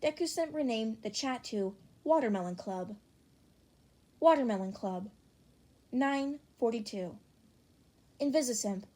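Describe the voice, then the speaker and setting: A woman's voice: a little high, animated, a little slow, long pauses, warm tone. A woman reading a scripted group chat story aloud as an audiobook narrator. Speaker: fan fiction audiobook reader.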